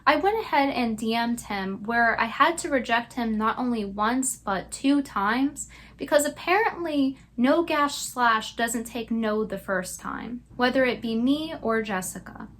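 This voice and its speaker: A woman's voice: a little high, a little slow, few pauses, fairly bright tone. A woman delivering scripted commentary as a YouTube commentator.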